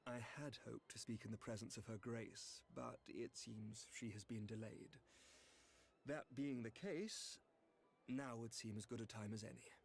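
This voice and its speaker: A gracious noble envoy from a fantasy video game. He speaks in measured, ceremonious phrases at a middling pitch, with old-fashioned courtly diction. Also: gravelly, a little hiss or hum. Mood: gracious, formal. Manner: formal, measured, archaic oratory. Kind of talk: video game cutscene acting.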